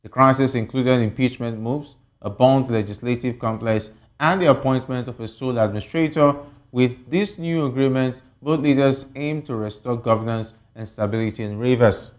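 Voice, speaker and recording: A man's voice: medium pitch, moderate pace. A man presenting news and putting questions to a panel as a television host. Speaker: TV host and interviewer.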